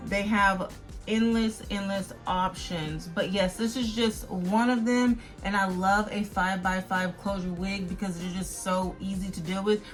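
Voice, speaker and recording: A woman's voice: mid-range voice, fairly even intonation, measured pace. Woman vlogger chatting emphatically over music. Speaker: beauty vlogger reviewing a wig. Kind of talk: casual review chat to viewers. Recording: music playing behind the voice. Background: background music.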